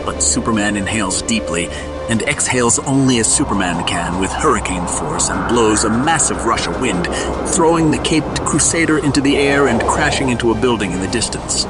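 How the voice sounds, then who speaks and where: A man narrating a scripted audio drama in a dramatic storytelling style. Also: mid-range voice. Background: music.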